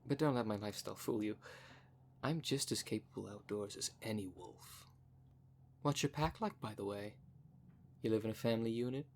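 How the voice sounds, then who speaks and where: A man's voice: lowish voice, normal speed, long pauses, a little hiss or hum, close to an everyday voice. A man voicing a vain, flirtatious, snobbish werecat socialite in a scripted roleplay spoken to the listener, with a pompous, haughty manner. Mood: haughty, boastful, then disdainful. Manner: pompous, haughty, smooth-talking. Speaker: voice actor in audio roleplay.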